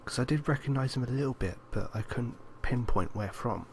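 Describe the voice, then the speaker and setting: A man's voice: mid-range voice, brisk, a little hiss or hum. A man co-hosting a podcast in a casual, conversational style. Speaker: podcast co-host.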